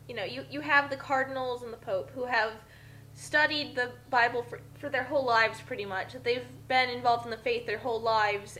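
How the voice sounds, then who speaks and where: A woman's voice: a little high, sharp tone, slight background noise, poor audio. A woman giving casual commentary, explaining and arguing her points.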